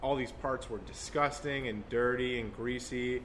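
A man's voice: medium pitch, steady tone, moderate pace, fairly bright tone, slight background noise. A man of twenty-three recounting his early businesses in casual chat, candid and a little complaining. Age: 20s. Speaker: business co-founder guest.

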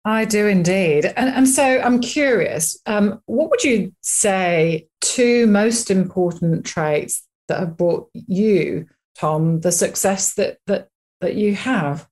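A woman's voice: mid-range voice, slow, darkish tone. A woman hosting an interview show, chatty and enthusiastic as she introduces and questions her guest. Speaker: interview host.

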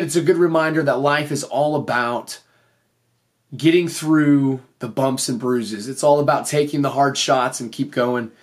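A man hosting a motivational faith podcast in an earnest, encouraging way, his voice slightly off after recently losing it. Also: fairly high pitch. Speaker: podcast host and teacher.

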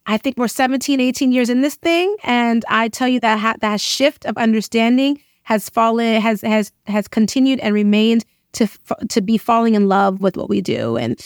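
A middle-aged woman, an interview guest, telling personal stories in an animated, emphatic, casual way and often checking in with "right?". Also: on the high side, moderate pace, few pauses, dry recording, studio quality. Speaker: interview guest, occupational therapist and educator.

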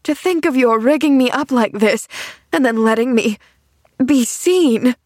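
Stern storybook aunt acted by a woman: mortified, indignant, scornful, highish voice. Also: animated, extremely slow, darkish tone, husky, no echo, clean studio sound, exaggerated voice.

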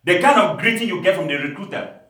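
A man's voice: high-pitched, lively intonation, fast talker, bright, forceful tone. A man from Cameroon preaching a sermon as pastor, emphatic, with laughing asides. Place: church hall. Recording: echoey church hall.

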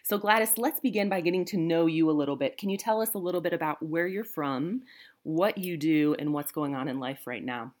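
A woman cohosting a podcast, gently asking her guest open questions. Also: low-pitched, a little fast. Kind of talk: podcast interviewing.

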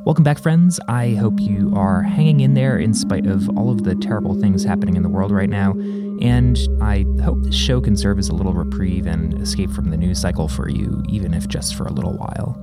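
Podcast host man over loud music. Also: low voice, brisk. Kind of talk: scripted podcast intro. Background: music underneath.

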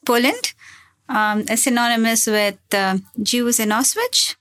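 A woman's voice: a little high, measured pace, a bit bright. A woman from India, a seminar participant, speaking with some hesitation.

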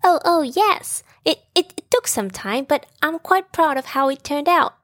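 A woman's voice: high voice, expressive, measured pace, a bit bright, dry recording, clean studio sound, voice pushed up. A woman voicing a tiny, timid, modest male piglet with a hesitant stammer in a bedtime storybook. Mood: shyly proud.

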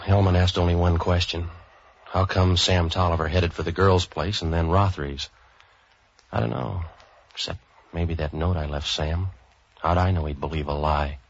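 Cynical American hard-boiled hero, very low voice, deadpan narration, dull vintage radio sound. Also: male voice. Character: street-wise, cynical male boat renter for hire. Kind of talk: 1940s radio detective drama narration and acting. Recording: dull 1940s radio recording.

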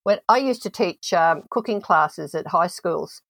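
A woman's voice: lowish voice, animated, a little slow, creaky, fairly bright tone, dry recording. A woman hosting an interview, telling stories in a reflective, conversational way. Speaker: host.

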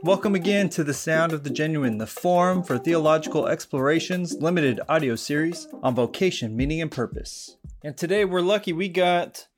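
A man's voice: fairly high pitch, expressive, normal speed, few pauses, over music. A man hosting a podcast, introducing his guest with upbeat enthusiasm. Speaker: podcast host.

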